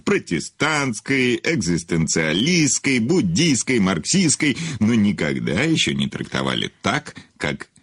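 A man's voice: fairly low pitch, sing-song, a little slow, hardly any pauses, a bit bright, husky, dry recording, studio quality, exaggerated voice. An actor playing a haughty, brusque male literary celebrity in an audio play, with an imperious, curt manner broken by hums and hesitations. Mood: condescending.

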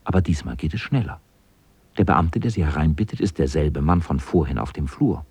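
A man's voice: a bass voice, expressive, brisk, a little mellow, husky, dry recording, full character voice. A man narrating a scripted children's radio play as an observant storyteller.

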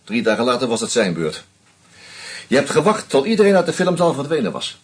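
An actor playing a shrewd screenwriter who methodically unmasks a killer, with a husky voice and dry irony. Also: male voice, medium pitch, sing-song, fairly quick. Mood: accusing. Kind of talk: radio play acting.

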